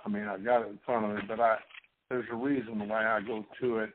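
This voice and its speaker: A man's voice: lowish voice, level tone, brisk, a little hiss or hum. Folksy preacher man on a tinny line. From Missouri. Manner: rambling, folksy, frequent restarts and fillers. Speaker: Bible teacher. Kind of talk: sermon-style Bible teaching. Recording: husky voice over a tinny phone-like line.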